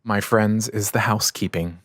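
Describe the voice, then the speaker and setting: Casting: male co-host, lowish voice, close dry sound, easygoing conversational sponsor read. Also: steady tone, normal speed, a bit bright, no room sound. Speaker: podcast co-host.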